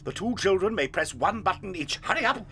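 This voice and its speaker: An actor playing an eccentric, brisk male chocolate factory owner who narrates, with theatrical, whimsical delivery. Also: high-pitched, very animated, a bit bright, a little hiss or hum, exaggerated voice. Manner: theatrical, whimsical, quick, bossy. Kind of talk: dramatised audiobook acting. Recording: studio audio drama with music beds.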